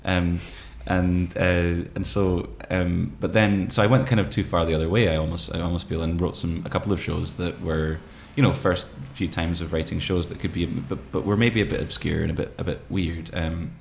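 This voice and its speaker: A man in his thirties talking reflectively as an interview guest, hesitant and thoughtful, pausing often. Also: low-pitched, steady tone, creaky, a little hiss or hum. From Scottish Highlands. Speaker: guest, poet and musician. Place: room with tall windows, indoors. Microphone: microphone on desk stand.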